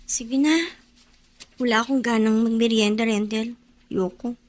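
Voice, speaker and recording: An actress playing a teenage girl worried for her injured father, talking softly and tearfully. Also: a little high, steady tone, unhurried, no room sound, close to an everyday voice. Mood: sad. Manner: soft, tearful, downcast.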